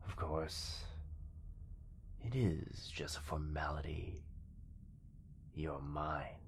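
A man voicing a possessive shadow monster in a yandere ASMR roleplay, breathy and whispery, mockingly affectionate and teasing with menace. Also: rumbling low, a little hiss or hum, full character voice. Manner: slow, drawn-out, teasing menace.

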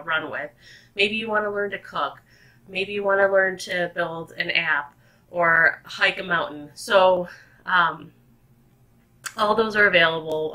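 Woman, low-pitched, chatty upbeat tutorial presenter, loose and unscripted, desktop mic. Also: sharp tone, rough recording. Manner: chatty and upbeat, many fillers and restarts. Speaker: tutorial presenter, company co-founder. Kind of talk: unscripted screen-recorded tutorial.

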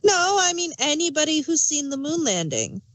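A woman speaks as a panelist in a casual discussion. Her voice is fairly high, and the recording is very dry, with no room sound around her. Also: very animated, moderate pace, a bit bright, no echo. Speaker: review podcast panelist. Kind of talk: casual chat.

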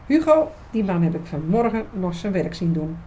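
Actress as young woman, frank, expressive, radio play, medium pitch, faint background ambience. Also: moderate pace, slight background noise, close to an everyday voice, poor audio. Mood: lively, teasing. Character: lively, frank young woman in love with priest friend. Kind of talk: Dutch audio drama dialogue.

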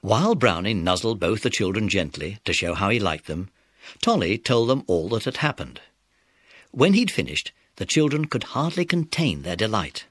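A man narrates a children's story from a script. His voice is on the low side and very expressive, recorded dry and close. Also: normal speed, husky, no echo.

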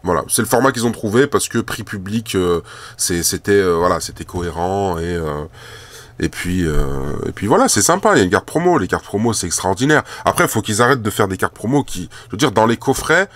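A man's voice: lowish voice, lively intonation, brisk, fairly bright tone. A man with a cold talking casually while handling trading cards at a table, rambling with many fillers and repeated words. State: has a cold. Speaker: card collector and shop owner.

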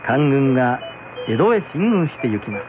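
A man's voice: medium pitch, sing-song, moderate pace, over a noisy crowd. A man narrating a scripted folktale for radio in a polite, traditional storytelling manner. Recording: tinny radio broadcast, music behind.

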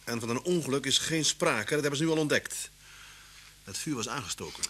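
An actor playing a lively, wry, brisk detective in a vintage radio drama. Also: male voice, medium pitch, breathy edge. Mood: grave, explanatory. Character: lively male Scotland Yard detective, wry, brisk husband. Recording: noisy old radio recording with hum.

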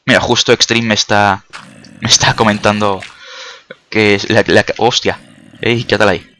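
Swearing, chatty gamer man, bright voice. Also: lowish voice, steady tone, normal speed, slight background noise. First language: not Spanish. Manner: chatty, swears a lot, jokey, startled outbursts. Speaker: gaming video creator. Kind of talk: casual let's-play commentary.

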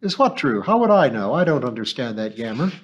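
A man's voice: big pitch swings, brisk, husky. A male audiobook narrator voicing a shrewd, anxious old woman shopkeeper who complains and dodges questions. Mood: defensive. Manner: shrill, complaining, evasive.

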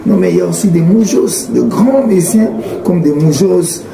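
A middle-aged man from Congo, answering interview questions in a halting, earnest way with many fillers and repeats. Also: high voice, vocal fry. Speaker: singer guest. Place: small indoor studio room. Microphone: handheld mic on a stand. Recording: roomy sound with ambient noise.

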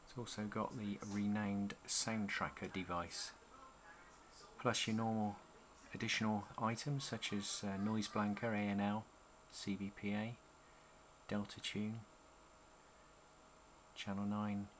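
Low, flat-toned man. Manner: halting, pausing between short phrases. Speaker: CB radio hobbyist presenting a product demo. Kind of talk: casual product walkthrough. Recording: home camcorder with noticeable background noise.

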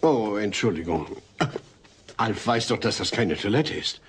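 A man's voice: fairly low pitch, lively intonation, unhurried. An actor playing a courteous, anxious suburban father in a sitcom audio drama. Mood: puzzled. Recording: noisy old cassette.

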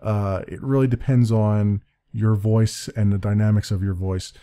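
A man with a deep voice giving a casual screen-recorded software tutorial at his computer desk, rambling, correcting himself and laughing a little. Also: steady tone, unhurried, clear, resonant tone. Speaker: let's play creator explaining his audio setup. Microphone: desk-mounted condenser mic with pop filter.

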